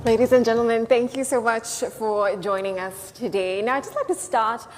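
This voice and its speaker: A woman who presents business news opens a panel discussion with a brisk, articulate delivery. Her voice echoes a little around a hall. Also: a little high, over music.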